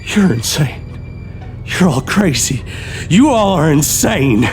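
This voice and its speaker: A man's voice: fairly high pitch, very animated, takes it slowly, soft background music. An actor playing a desperate, trapped robber and devoted father in a horror audio drama, speaking with raw emotion. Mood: frightened defiance.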